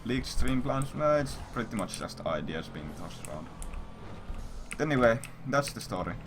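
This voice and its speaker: A man's voice: mid-range voice, sing-song, normal speed, background noise. A man livestreaming, telling a story in casual, rambling chat with blunt, repetitive, profanity-heavy talk.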